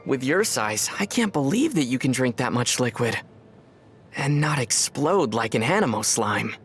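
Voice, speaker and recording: A man playing a curious, thoughtful young traveler in a video game, measured and gently wry. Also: mid-range voice, sing-song, soft background music, natural voice. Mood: bemused. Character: young male traveler from another world, curious, mild and thoughtful. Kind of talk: scripted video game dialogue.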